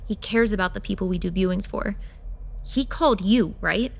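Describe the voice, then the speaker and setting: A woman's voice: medium pitch, moderate pace, vocal fry. An actress playing a dry, sarcastic young woman mortician who sees monsters, in a horror audio drama. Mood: guarded, deadpan. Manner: dry, sarcastic, blunt, swearing. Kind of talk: audio drama acting with confiding asides.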